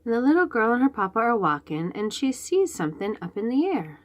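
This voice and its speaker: A woman's voice: on the high side, sing-song, fairly quick. A woman reading a picture book to young children, describing the pages in simple short phrases and asking the listener questions. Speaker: children's read-aloud host.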